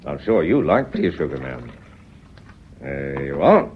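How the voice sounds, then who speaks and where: An actor playing a genial, wily police inspector in a radio mystery, chatty, polite and disarming. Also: male voice, rumbling low, very animated, a little slow, dull audio, a little hiss or hum, full character voice. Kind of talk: radio mystery drama acting.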